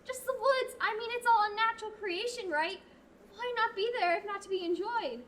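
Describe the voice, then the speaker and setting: A teenage actor playing a curious, earnest young novice in a student radio play. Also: female voice, very high-pitched, normal speed, fairly bright tone. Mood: pleading. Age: teens. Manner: earnest and pleading.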